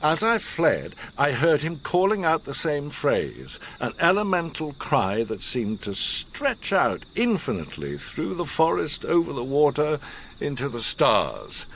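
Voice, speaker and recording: An actor playing an older, learned gentleman who recounts his past gravely, with measured, theatrical pauses, in a radio drama. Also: on the high side, huge pitch swings, a little hiss or hum, exaggerated voice. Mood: intense, awed.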